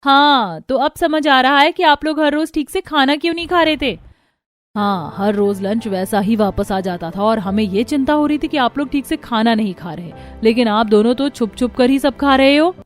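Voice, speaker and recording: A woman's voice: fairly high pitch, animated, normal speed, faint music behind, lightly acted. A woman voicing a caring but indignant young wife in a cartoon, earnest and reproachful.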